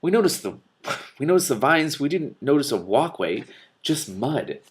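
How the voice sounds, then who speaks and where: Man reading as a skeptical, higher-pitched storybook character. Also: big pitch swings, moderate pace, slightly rough. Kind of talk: storybook read aloud.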